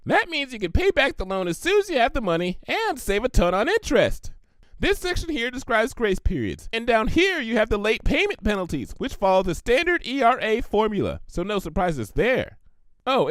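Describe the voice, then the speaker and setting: A man voicing a bubbly, hyperactive young female cartoon pony with chirpy, excitable emphasis. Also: very high voice, dry recording, voice pushed up, exaggerated voice.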